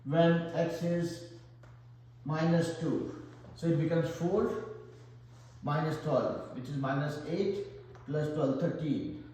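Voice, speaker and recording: A man's voice: fairly high pitch, steady tone, takes it slowly, pauses often, mellow. A man with an Indian accent teaching a math lesson, working through problems step by step and thinking aloud. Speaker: math tutor. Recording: very echoey room.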